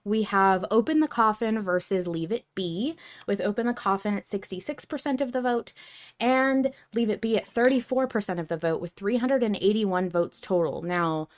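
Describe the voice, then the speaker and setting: A woman's voice: medium pitch, normal speed. A woman hosting a casual fan discussion podcast, talking in a chatty, opinionated way. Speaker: podcast host.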